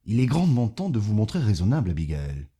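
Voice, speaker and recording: A man's voice: on the low side, wildly swooping pitch, fast, warm tone. A man playing a smug, condescending, corrupt mayor in an audio drama, patronising and coolly menacing. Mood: patronising, pressing. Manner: patronising, cajoling. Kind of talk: French audio fiction acting.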